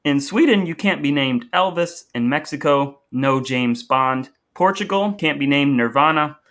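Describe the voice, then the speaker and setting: A man's voice: on the high side, lively intonation, a little slow, fairly bright tone. A man co-hosting a podcast in casual, humorous chat, with a playful, dry way of talking. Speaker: podcast co-host.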